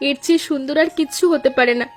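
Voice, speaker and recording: A woman's voice: sits high, rapid-fire, a little mellow, faint music behind, close to an everyday voice. A woman playing a gracious young daughter-in-law, the elder sister in a cartoon story, earnest and polite. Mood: grateful.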